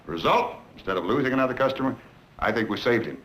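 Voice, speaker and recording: A man's voice: medium pitch, lively intonation, quick pace, fairly bright tone, slight background noise, close to an everyday voice, rough recording. A man playing a persuasive dealership boss. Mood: instructive. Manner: reasonable, persuasive, instructive. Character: earnest middle-aged male dealership manager. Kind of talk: 1950s training film acting.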